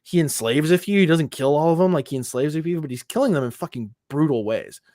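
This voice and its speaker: A man's voice: on the high side, quick pace, mellow, no echo, clean studio sound. A man co-hosting a casual nerd podcast, ranting with frequent swearing and filler words. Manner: profane, ranting, lots of fillers. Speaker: podcast co-host. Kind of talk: casual chat.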